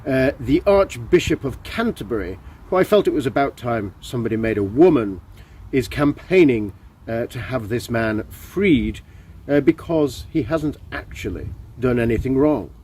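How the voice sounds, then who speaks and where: A man who is an author introducing and reading his own fiction in a measured, expressive storytelling manner. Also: medium pitch, slight background noise. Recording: roomy, echoing sound.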